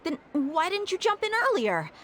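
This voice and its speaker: A woman's voice: very high-pitched, very animated, moderate pace, a bit bright, heavily acted. A woman voicing a tough, protective young mercenary with a casual, direct and confident manner in a video game. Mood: surprised, frank.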